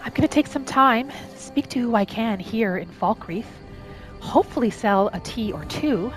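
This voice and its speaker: Gently musing woman over music. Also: fairly high pitch, expressive, moderate pace. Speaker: roleplay gaming host. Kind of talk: in-character storytelling game narration. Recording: music behind the voice. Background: background music.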